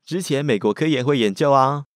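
A man's voice: mid-range voice, a little fast, no room sound, clean studio sound, lightly acted. A man playing a cheerful, persistent teenage schoolboy with a crush in a radio drama, speaking with a Taiwanese accent in a playful, earnest way. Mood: playful, inviting. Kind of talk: Mandarin boys' love radio drama acting.

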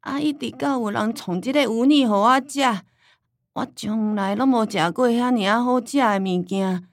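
A woman's voice: on the high side, moderate pace, no room sound, lightly acted. An actress playing a forgetful, artless old grandmother with a Taiwanese accent, hesitant and folksy, in a radio drama. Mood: plaintive, wistful. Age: older.

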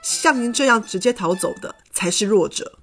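A woman's voice: mid-range voice, lively intonation, normal speed, a bit bright, soft background music. A woman voicing a brave, kind male rhinoceros beetle samurai in a children's story. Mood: earnest. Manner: earnest, pleading, resolute.

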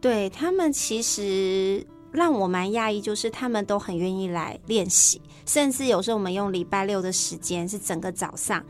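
A woman's voice: medium pitch, animated, moderate pace, hardly any pauses, faint music behind. A woman with a Taiwanese Mandarin accent, a teacher chatting enthusiastically as an interview guest. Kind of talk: casual interview chat.

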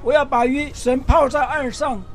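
A man's voice: very high voice, fairly even intonation, moderate pace, soft background music. An actor playing a plain-spoken, fretful old fisherman in a biblical radio drama, with a theatrical, plaintive delivery. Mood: urgent.

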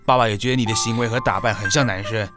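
A man's voice: fairly low pitch, steady tone, rapid-fire, over loud music, lightly acted. A man acting a middle-aged, traditional father in an audio drama, paternal and admonishing. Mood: stern. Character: stern, traditional middle-aged father, caring but scolding.

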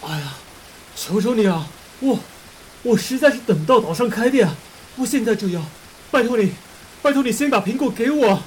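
A woman's voice: a little high, lively intonation, moderate pace, a little mellow, a little hiss or hum, natural voice, poor audio. A woman in an audio drama playing a desperate businessman who stammers and begs breathlessly. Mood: anxious pleading. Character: desperate, pleading businessman, a husband and father.

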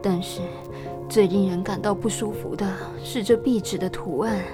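A woman's voice: mid-range voice, steady tone, moderate pace, music in the background, natural voice. A woman acting an anxious, melancholy young wife shut away in a room, in an audio drama. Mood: uneasy, dizzy.

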